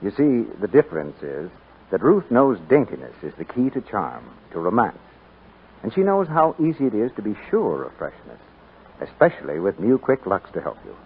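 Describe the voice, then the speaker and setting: A man's voice: on the low side, wildly swooping pitch, normal speed, husky. A male announcer reading a scripted advertisement in polished 1940s radio style. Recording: muffled, fuzzy 1940s radio recording.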